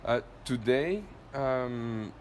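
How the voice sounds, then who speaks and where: A man's voice: mid-range voice, expressive, very slow. A man with a French accent giving an informal product demo, explaining step by step and often checking that listeners follow. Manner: explanatory, often ends points with checking tag questions. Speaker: company presenter demonstrating a product. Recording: echoey room, loud background noise.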